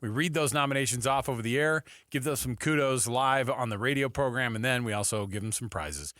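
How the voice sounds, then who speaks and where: A man's voice: mid-range voice, brisk, a bit bright, no room sound, studio quality. A man with an American accent co-hosting sports talk radio, emphatic and conversational.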